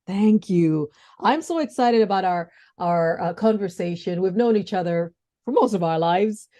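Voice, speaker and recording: A woman's voice: lowish voice, normal speed, dark tone. A woman hosting a podcast, chatting enthusiastically with her guest and often restarting her sentences. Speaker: podcast host. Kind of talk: interview podcast chat.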